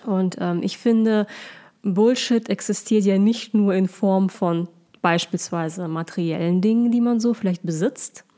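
A woman's voice: mid-range voice, unhurried, mellow. A woman co-hosting a casual podcast, summing up points with some hesitant restarts. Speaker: podcast co-host.

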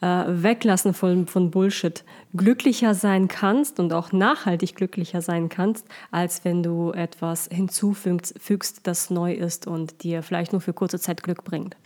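A woman's voice: fairly low pitch, steady tone, a little slow, few pauses, a little mellow. Female co-host, casual and chatty, restarts her sentences. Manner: teasing, sums up points, hesitant restarts. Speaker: podcast co-host. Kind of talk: casual podcast chat.

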